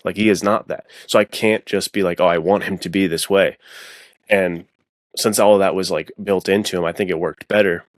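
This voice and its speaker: Deep-voiced man in casual conversation. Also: fairly even intonation, brisk, no room sound, clean studio sound. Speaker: guest author. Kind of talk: casual podcast discussion of fiction writing.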